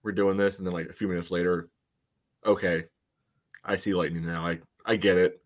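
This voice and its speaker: Low-voiced male podcast co-host. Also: level tone, a little fast. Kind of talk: casual conversational sports chat.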